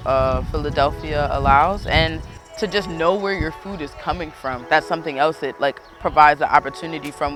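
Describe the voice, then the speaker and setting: Higher-voiced man over clear music. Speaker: urban farmer. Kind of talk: reflective interview talk. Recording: music laid under the voice.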